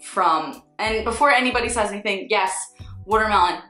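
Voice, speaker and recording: A woman's voice: medium pitch, brisk. A young woman from Northeast Philadelphia with a Philadelphia accent, a makeup artist giving blunt, sarcastic, swear-laced opinions in a casual vlog. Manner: blunt, sarcastic, swears freely, animated swings. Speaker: beauty vlogger, freelance makeup artist. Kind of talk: candid casual ranting vlog. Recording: echoey room with faint music.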